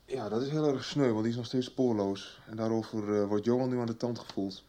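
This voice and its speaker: A man's voice: mid-range voice, steady tone, fairly quick, dark tone, lightly acted. An actor playing a young policeman son, talking colloquially in a Dutch radio soap. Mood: matter-of-fact. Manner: colloquial, chatty. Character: young policeman son recounting his case to his mother.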